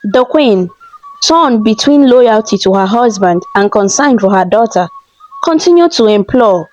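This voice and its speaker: High-voiced woman telling a story. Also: lively intonation, measured pace, a little mellow, soft background music, low-quality recording. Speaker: storyteller, narrator. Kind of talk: scripted storybook narration.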